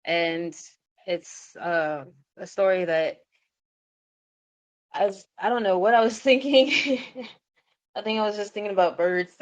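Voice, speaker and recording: A woman's voice: medium pitch, lively intonation, slow pace, long pauses. A woman hosting a podcast about her own stories, chatting casually in an informal, giggly way. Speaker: podcast host and story writer. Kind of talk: casual chat.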